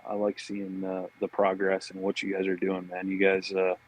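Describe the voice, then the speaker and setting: A man with a husky voice, a podcast guest chatting casually in an easygoing, affable buddy-to-buddy way. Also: deep voice, completely flat delivery, moderate pace, rough recording. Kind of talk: casual chat.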